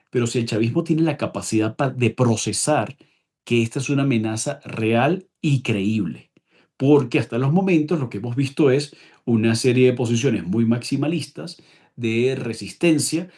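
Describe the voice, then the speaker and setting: A man's voice: mid-range voice, warm tone, slightly rough. A man giving spoken political analysis as an interviewed expert, explaining emphatically and often repeating and correcting himself.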